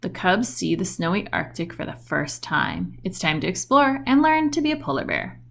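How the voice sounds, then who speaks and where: A woman reading a children's book aloud in an expressive storytelling style with questioning intonation. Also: fairly low pitch, normal speed, gravelly.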